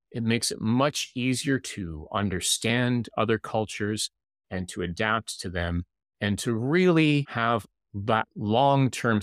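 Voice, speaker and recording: A man hosting a podcast about living in new cultures, speaking in an earnest, measured way. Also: fairly low pitch, fairly bright tone, no echo, studio quality. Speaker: podcast host and intercultural coach. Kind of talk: podcast interview.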